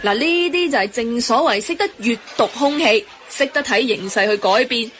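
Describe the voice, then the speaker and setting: A breezy colleague in a Cantonese radio drama. Her slightly high Hong Kong voice is teasing and wry, with a little background noise. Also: animated, normal speed, a bit bright. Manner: teasing, mixes in English words. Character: breezy, teasing female colleague who loves shopping.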